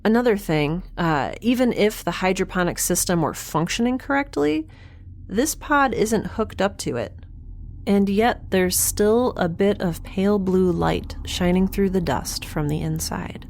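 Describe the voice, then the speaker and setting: A woman's voice: medium pitch, unhurried, a little mellow, a little hiss or hum, close to an everyday voice. A woman playing a wry, stubborn young scientist in a space audio drama, with a dry, sardonic and precise way of talking. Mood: intent, observing. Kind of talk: audio drama acting, first-person log narration.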